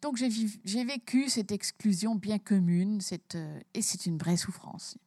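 A woman's voice: mid-range voice, unhurried, a little mellow, dry recording, studio quality. A woman author from Belgium, talking emphatically about her book in an interview and repeating words as she starts her sentences.

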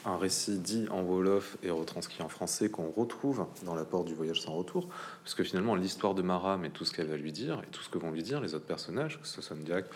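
A man's voice: sits low, a little fast, a little hiss or hum. A male interviewer asking long, winding questions full of fillers and restarts.

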